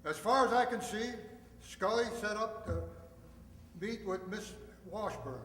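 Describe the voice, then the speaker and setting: A male police captain played by a man with a notably high voice in a radio crime drama. He is cautious and in charge, in an echoey hall with faint noise. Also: a little slow, fairly bright tone. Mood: brisk, cautious.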